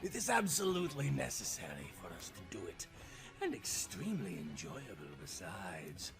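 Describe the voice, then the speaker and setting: A man's voice: low-pitched, huge pitch swings, normal speed, creaky, over music, voice pushed down, heavily acted. A man voicing a courteous, theatrical devil in a storybook tale, formal and politely menacing. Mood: slyly menacing. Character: suave, mock-courteous devil, doting grandfatherly fiend.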